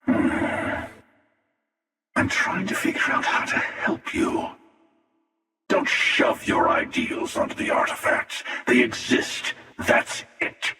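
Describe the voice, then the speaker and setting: An actor playing a cold, world-weary dimension-hopping armored villain in a video game, menacing and sardonic, with a gravelly voice in an echoing effect. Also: male voice, bright, forceful tone. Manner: menacing, sardonic, deliberate delivery. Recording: cavernous echo, long reverb, distant sound.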